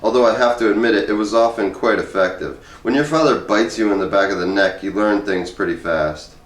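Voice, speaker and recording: A man's voice: lowish voice, brisk. A man reading a humorous first-person essay aloud in a conversational, wry storytelling way. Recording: roomy echo, distant microphone.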